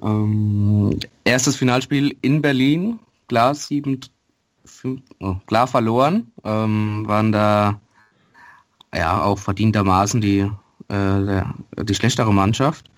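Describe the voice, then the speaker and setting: A man's voice: lowish voice, fairly even intonation, takes it slowly, no room sound. A man co-hosting a casual sports podcast, matter-of-fact and hesitant, with many fillers. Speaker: podcast co-host.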